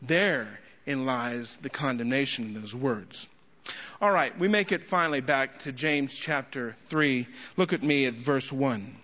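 A man preaching an expository sermon to a congregation, speaking emphatically with rhetorical questions and deliberate pauses. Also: medium pitch, expressive, sharp tone. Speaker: guest preacher and teacher. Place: large indoor church hall. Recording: echoey large hall, slightly muffled sound.

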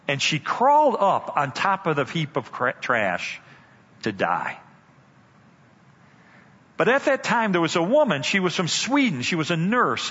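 An older man, a guest speaker, telling a story in a sermon with dramatic pauses and emphasis. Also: fairly high pitch, bright, forceful tone, breathy edge. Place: church sanctuary. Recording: dull church hall reverb.

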